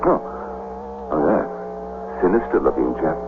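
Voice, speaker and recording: An actor playing a bluff upper-class gentleman in a radio drama, clipped and conspiratorial. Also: very deep voice, big pitch swings, a little slow, heavily acted. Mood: amused, intrigued. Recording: tinny, noisy old radio recording.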